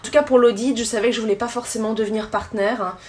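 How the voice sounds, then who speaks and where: Female guest, thirties, French from France, fairly high voice, conversational interview answers. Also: fairly even intonation, very fast, a little mellow. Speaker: podcast guest, tour guide.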